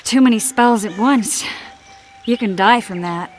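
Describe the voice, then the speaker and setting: A woman playing a sharp-tongued, easily indignant young sorceress in a video game. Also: fairly high pitch, measured pace, background noise, lightly acted. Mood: weary.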